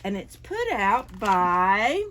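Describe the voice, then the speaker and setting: A woman reading picture books aloud to preschoolers, with an animated manner and playful questions to the children. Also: mid-range voice, drawn-out words, a little hiss or hum. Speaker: librarian storyteller for preschoolers. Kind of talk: expressive picture-book read-aloud.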